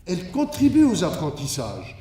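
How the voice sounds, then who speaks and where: Echoey, higher-pitched male conference lecturer. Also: huge pitch swings, normal speed, dark tone. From Switzerland. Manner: didactic, emphatic, with rhetorical asides. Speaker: academic keynote speaker. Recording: echoey room, distant microphone.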